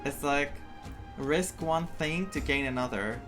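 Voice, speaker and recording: A man's voice: a little high, unhurried. A man giving casual playthrough commentary, chatting and thinking aloud as he goes. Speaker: gaming content creator. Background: game music.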